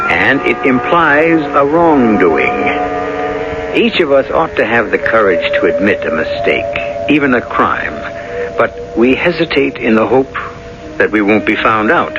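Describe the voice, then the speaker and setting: A man hosting a radio mystery drama, delivering scripted narration in a solemn, measured, literary manner. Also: mid-range voice. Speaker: host and narrator. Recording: muffled 1970s radio, music underneath. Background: music.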